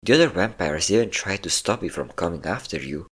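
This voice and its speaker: Man playing a deep-voiced, teasing male vampire. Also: expressive, fairly bright tone, breathy edge, exaggerated voice. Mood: smug, taunting. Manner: taunting, flirtatious, slow endearments. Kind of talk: intimate roleplay audio acting.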